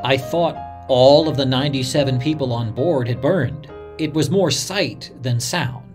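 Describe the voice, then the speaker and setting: A man's voice: medium pitch, close to an everyday voice. A man playing an earnest radio reporter, dramatically recalling a disaster he witnessed, in a first-person story. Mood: somber. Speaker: narrator of a radio reporter's memories.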